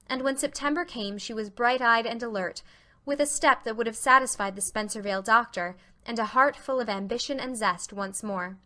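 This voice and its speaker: A woman's voice: a little high, sharp tone. A woman narrating a classic novel as a scripted audiobook reading.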